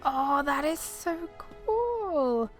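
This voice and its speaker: A woman's voice: sits high, sing-song, drawn-out words, faint music behind. A woman doing casual, chatty commentary on a game playthrough, sometimes reading dialogue aloud. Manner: chatty, informal. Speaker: gamer commentator.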